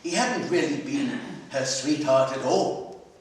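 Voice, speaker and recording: A man reading literary fiction aloud at a live event, with a measured, dramatic delivery and pauses. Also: on the high side. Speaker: story reader at a literary festival. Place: library hall at a live festival. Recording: echoey hall, distant microphone.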